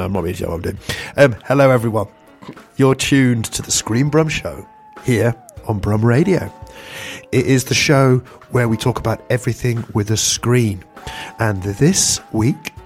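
A hoarse man who has lost his voice, hosting a casual radio chat show with a self-mocking, jokey manner. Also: medium pitch.

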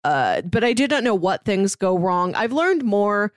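A woman's voice: medium pitch, sing-song, a little slow, dry recording, clean studio sound. A woman co-hosting a podcast, discussing a book in a casual, chatty way. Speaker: podcast co-host.

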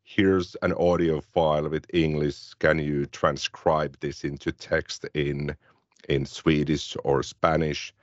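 A man whose first language is Finnish, co-hosting a podcast and explaining cloud services in a relaxed, chatty way. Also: a bass voice, slow pace, no echo, highs cut off. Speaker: podcast co-host. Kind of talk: casual tech chat.

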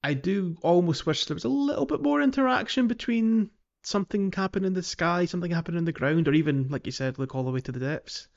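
A man's voice: sits high, animated, fast, gravelly, few pauses, lo-fi sound. A man co-hosting a video game podcast in casual, enthusiastic chat, often feeling his way through a thought. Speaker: podcast co-host.

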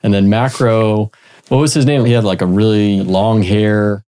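A man with a somewhat low voice co-hosts a podcast in a relaxed, joking manner. He drifts into shared memories and then steers the talk back to his questions. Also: normal speed. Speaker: podcast co-host. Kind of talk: casual podcast chat.

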